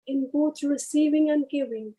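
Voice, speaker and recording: A woman leading a guided meditation, speaking in short, unhurried phrases with pauses between them. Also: sits high, dark tone.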